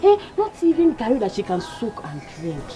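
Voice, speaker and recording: A woman's voice: fairly high pitch, huge pitch swings, moderate pace, music in the background. A woman playing a pleading villager. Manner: pleading, emphatic, repetitive. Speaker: poor village wife. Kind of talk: skit acting.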